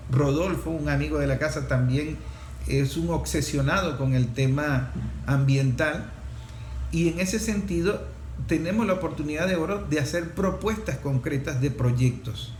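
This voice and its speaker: Venezuelan male host, fairly high voice, unscripted commentary, halting delivery, roomy echo. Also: a little mellow. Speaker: talk show host. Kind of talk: unscripted opinion commentary and guest introduction. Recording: echoey room with faint background noise.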